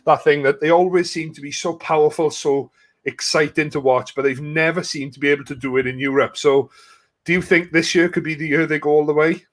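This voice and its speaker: A man's voice: a little high, steady tone, a little fast. A man hosting a live sports watch-along, chatting casually and matily with a guest and putting questions to him. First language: not French. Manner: chatty, matey address, asks questions.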